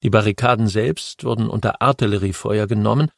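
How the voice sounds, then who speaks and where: A man narrating a history audiobook in a measured, steady storytelling manner. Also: fairly low pitch, vocal fry, dry recording, studio quality.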